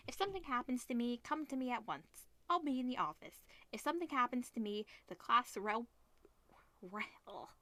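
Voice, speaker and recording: A woman's voice: on the high side, expressive, normal speed, bright, forceful tone, no echo. A woman reading the part of a stern homeroom teacher in a visual novel, giving grave instructions. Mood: stern, instructing.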